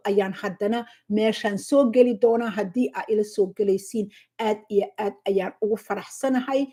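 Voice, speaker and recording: A middle-aged woman from southern Somalia with a Somali accent, hosting a casual livestream, unhurried and halting with many fillers as she thanks viewers by name. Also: a little high, dark tone. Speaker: livestream host.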